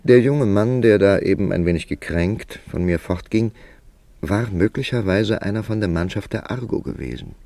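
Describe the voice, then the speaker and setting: Deep-voiced, expressive writer narrating his tale. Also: gravelly, dry recording. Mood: reflective. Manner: measured, reflective, literary. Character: Mr. Kipling, reflective male writer narrating his own story. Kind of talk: literary first-person radio play narration.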